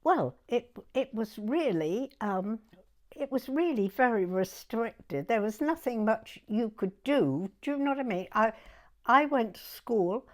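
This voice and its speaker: A woman in her nineties with a southern English accent, reminiscing informally in an interview in a chatty, halting way with plenty of "you know". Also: on the high side, expressive. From Dorset, England. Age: 90s. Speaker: oral history interviewee.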